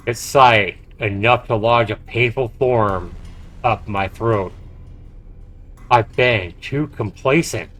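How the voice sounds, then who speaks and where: A man's voice: fairly low pitch, a bit bright, a little hiss or hum. A man livestreaming a game, reading its narration aloud dramatically with casual, profane asides. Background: faint game music.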